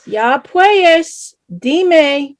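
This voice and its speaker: A woman's voice: extremely high pitch, lively intonation, very slow, full character voice. A woman playing a doting, dramatic Salvadoran mother in her thirties in a staged reading, with an exclamatory, chiding manner. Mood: curious, chiding. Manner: exclamatory, chiding, mixes Spanish into English. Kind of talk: live staged play reading.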